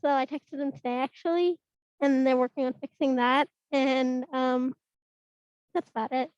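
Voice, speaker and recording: A woman with a high voice, still getting over a cold, reports to a student meeting in a chatty way full of fillers. Her call audio is very dry and close. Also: steady tone, moderate pace, darkish tone, dry recording, dull audio. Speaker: student senator. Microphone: video call.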